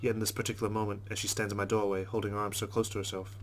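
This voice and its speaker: A man's voice: fairly low pitch, little pitch movement, very fast, slight background noise. A man who hosts a let's play, reading story narration aloud in a wry, conversational way with casual asides.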